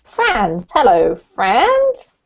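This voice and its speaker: A woman's voice: fairly high pitch, wildly swooping pitch, drawn-out words. A woman teaching an online English class, chatty and friendly, with frequent ums, over a video call. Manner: chatty, many ums, laughs often. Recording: dull lo-fi video call audio.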